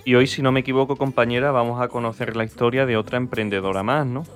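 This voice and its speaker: A man co-hosting a radio program, presenting stories in a brisk, prepared way. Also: mid-range voice, steady tone, soft background music. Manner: brisk, scripted-sounding presentation. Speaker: radio host. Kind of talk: radio magazine chat.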